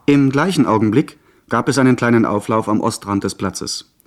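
A man's voice: medium pitch, normal speed, natural voice. An actor playing a merchant's son who narrates his adventure in scripted radio drama storytelling. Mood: narrating. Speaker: first-person narrator.

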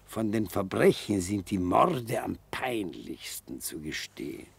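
An old retired defence lawyer in a radio drama, genial and slyly coaxing. His delivery is slow and creaky, swinging widely in pitch. He phrases everything in a pompous, florid, old-fashioned way. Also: big pitch swings, dry recording, exaggerated voice. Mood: genial, confiding. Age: older. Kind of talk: German radio play acting.

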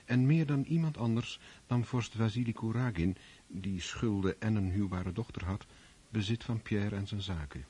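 A man narrating a literary radio drama in a measured storytelling manner. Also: fairly low pitch, dark tone, slightly rough. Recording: music under the voice. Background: music.

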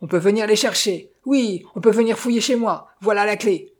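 A reader voicing a huge grizzled brute of a coachman in an audiobook novel, gruff, growling and bellowing, with a violent temper. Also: mid-range voice, moderate pace, pitched above the performer's own voice, close to an everyday voice. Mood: threatening rage.

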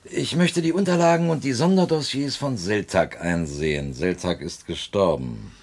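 Imperious inspector for radio sci-fi: very low voice, cold, disdainful, clipped. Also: male voice, moderate pace, darkish tone. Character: cold, haughty male inspector from the space city. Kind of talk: 1970s German radio play acting.

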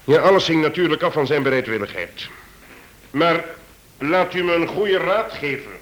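An actor playing an authoritative, dryly ironic chief police inspector in a radio drama, formal and measured. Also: male voice, on the high side, expressive, a bit bright. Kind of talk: Dutch radio drama acting.

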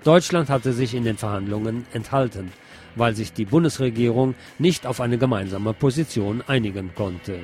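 A man's voice: mid-range voice, moderate pace. Man reading radio news over faint music.

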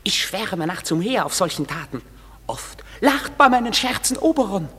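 A woman's voice: mid-range voice, very animated, moderate pace, a bit bright, a little hiss or hum. An actress playing a mischievous goblin servant of the fairy king, delivering verse with gleeful theatrical swings in a radio play. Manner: gleeful, theatrical swings while telling of pranks. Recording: long reverberant hall.

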